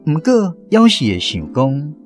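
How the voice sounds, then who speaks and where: A man with a Taiwanese accent narrates a scripted story in a folksy way. His delivery is lively, with wide swings in pitch, over faint plucked-string music. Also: measured pace. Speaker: narrator of a radio drama.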